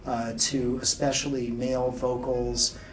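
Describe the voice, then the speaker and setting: A man's voice: mid-range voice, flat delivery, a little slow, distant mic. Man explaining gear, off-mic in noisy room. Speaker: loudspeaker company founder being interviewed. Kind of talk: informal trade show interview, explaining technical details. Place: exhibit room at an audio show.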